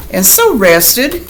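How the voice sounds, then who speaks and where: A woman's voice: on the high side, fairly bright tone, a little hiss or hum, low-quality recording. A woman reading a picture book aloud like a teacher, expressively, with chatty asides and questions to young listeners. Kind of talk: animated children's read-aloud with chatty asides.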